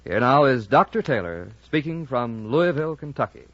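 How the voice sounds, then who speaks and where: A man's voice: mid-range voice, big pitch swings, moderate pace, fairly bright tone, dry recording. A man making a formal announcement in an old-time radio style. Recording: dull vintage radio recording.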